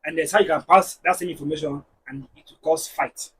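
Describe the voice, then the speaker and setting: Animated Nigerian man, high voice. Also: brisk, husky, distant mic, poor audio. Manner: animated, preachy, storytelling. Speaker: guest. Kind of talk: casual panel discussion.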